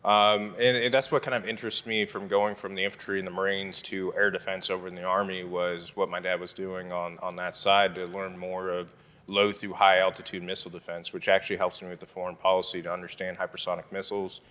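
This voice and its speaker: A man from Southern California, a guest answering interview questions in a halting way with many fillers. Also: deep voice, level tone, bright, forceful tone, in a large hall. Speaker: political candidate guest. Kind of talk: casual interview chat.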